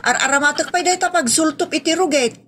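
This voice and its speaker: A woman's voice: sits high, fairly quick, background noise, close to an everyday voice. A woman playing a cheeky, lazy housemaid in a comedy audio drama, perky and exclamatory, with an Ilocano Filipino accent. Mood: defensive.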